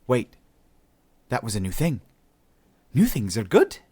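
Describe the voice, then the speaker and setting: A man's voice: on the high side, sing-song, moderate pace, a little mellow, pitched above the performer's own voice. A man voicing a feisty young Irish woman in an audiobook, chattering in a flustered way. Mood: startled.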